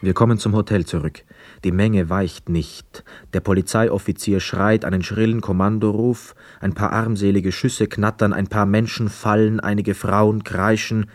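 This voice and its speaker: An actor in a radio play voicing a weary, melancholy young war returnee who narrates in a sombre, measured way. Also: male voice, lowish voice, fairly even intonation, dry recording, lightly acted. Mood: tense, grave. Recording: dry studio with faint music.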